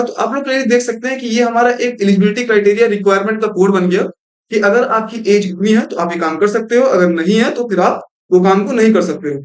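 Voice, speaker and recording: High-voiced young man teaching code. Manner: explanatory, repeats "thik hai" and mixes in English terms. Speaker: programming tutor. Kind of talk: screen-recorded coding lesson.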